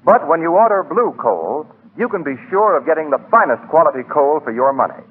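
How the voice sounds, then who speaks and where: A man's voice: big pitch swings, fairly quick. A man with an American accent announcing formally and emphatically in scripted 1940s radio style. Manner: formal, emphatic pitchman delivery. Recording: muffled husky 1940s radio broadcast.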